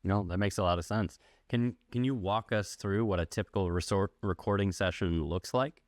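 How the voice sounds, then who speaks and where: A man's voice: lowish voice, moderate pace, no room sound, studio quality. A man hosting a podcast, asking casual interview questions.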